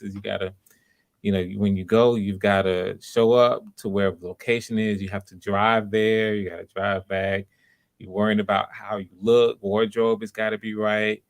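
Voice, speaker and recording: A man hosting a live audition stream, talking casually in a rambling, conversational way. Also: fairly low pitch. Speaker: audition host.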